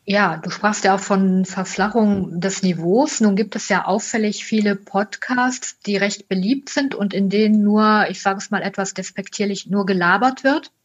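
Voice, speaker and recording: Slightly lo-fi sound, woman, mid-pitched, podcast interviewer, conversational. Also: moderate pace, hardly any pauses, breathy edge.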